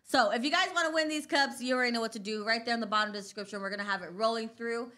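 A chatty woman co-hosting a livestream with a Southwestern US accent and a bright, forward tone. She talks casually into a studio boom mic in a small room, laughing easily and reading out viewer comments. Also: very fast. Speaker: co-host. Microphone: studio mic on a boom, headphones.

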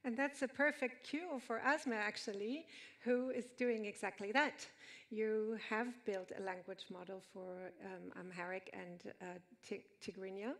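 A woman moderating a conference panel, asking questions briskly into a handheld mic. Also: mid-range voice, fairly even intonation, fairly bright tone.

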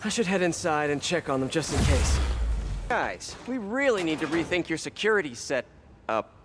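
An actor playing a young, quippy American superhero in a video game, chattering in a jokey, self-deprecating way. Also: male voice, a little high, big pitch swings, moderate pace, over music. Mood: earnest. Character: young wisecracking male superhero, earnest and quippy.

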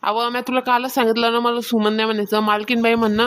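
A woman's voice: on the high side, little pitch movement, quick pace, fairly bright tone, close to an everyday voice. A woman voicing a bossy, stingy, self-important mistress of the house in a cartoon comedy, nagging in colloquial Varhadi Marathi. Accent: Varhadi Marathi, rural Maharashtra.

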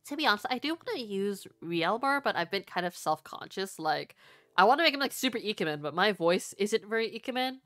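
A woman's voice: medium pitch, big pitch swings, brisk, fairly bright tone. A young woman VTuber chatting casually with her livestream viewers in a rambling, giggly manner. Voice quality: stuffy nose at times. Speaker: VTuber streamer and artist. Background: faint background music.

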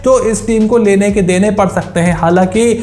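A young man hosting a cricket analysis video, ranking teams straight to camera and slowing down to stress each announcement. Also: high-pitched, a little mellow, soft background music. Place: small indoor studio with brick-pattern wall. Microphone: lapel mic, camera at mid distance. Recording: roomy echo.

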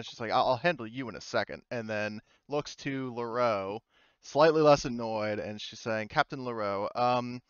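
A man running a tabletop roleplaying game as game master, narrating informally and haltingly and speaking for characters in his own voice. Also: mid-range voice, a bit bright, smooth, no room sound, dull audio.

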